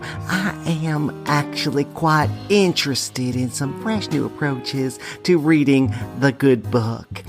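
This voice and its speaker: A man's voice: fairly high pitch, voice pushed up, natural voice. A man voicing a prim, proper evangelical church lady who confides earnestly, in a comic character monologue.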